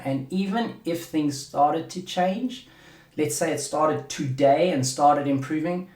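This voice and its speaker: South African man, roomy sound. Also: a little high. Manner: rambling, repeats words while searching for them. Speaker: podcast host. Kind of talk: casual solo podcast talk.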